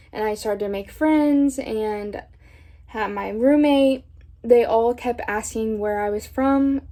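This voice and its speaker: A young woman from Indiana, a college student, talking through a prepared vlog segment in a steady, thoughtful way. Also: medium pitch. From Indiana, USA. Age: young adult. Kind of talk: scripted vlog talk.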